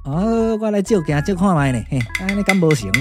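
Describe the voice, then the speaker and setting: A man's voice: high-pitched, lively intonation, a little fast, music in the background. A man with a Taiwanese accent playing a boastful, bumbling shape-shifting younger brother, chatty and full of exclamations, in a puppet-theatre radio drama. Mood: flustered.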